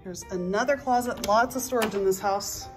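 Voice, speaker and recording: A woman's voice: mid-range voice, moderate pace, over music. A middle-aged woman, a real estate agent, narrating a house walk-through on a handheld phone inside an empty home. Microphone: handheld phone behind the camera.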